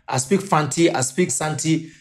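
A man's voice: fairly high pitch, moderate pace. A middle-aged man with a Ghanaian accent, a football coach giving emphatic interview answers.

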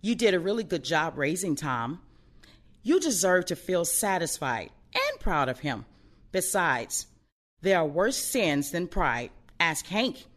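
A woman playing a blunt, sardonic, protective older woman in an audio play, outspoken and expressive. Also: fairly low pitch, measured pace. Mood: insistent, supportive.

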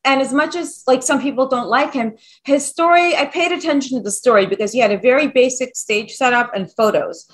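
Rambling enthusiastic woman, higher voice. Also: fairly quick, hardly any pauses. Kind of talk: casual chat.

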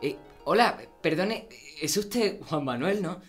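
A man's voice: high-pitched, animated, takes it slowly, faint music behind. An actor playing an earnest, awkward young man with a hesitant, colloquial manner. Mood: awed, hesitant. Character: earnest, awkward young fan, the family's son. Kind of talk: acted family audio drama.

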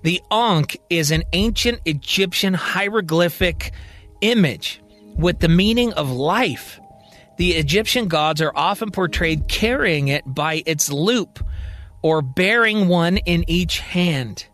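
A man voicing an inquisitive, decisive woman investigator with a brisk manner in a science fiction audio story. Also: high-pitched, expressive, a bit bright, soft background music, heavily acted. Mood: informative.

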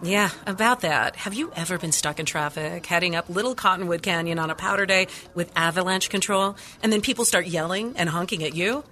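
Bright, forceful, persistent reporter. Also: female voice, fairly low pitch, a little fast, few pauses. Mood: determined. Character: determined, caring, persistent woman news reporter. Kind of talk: scripted radio drama acting.

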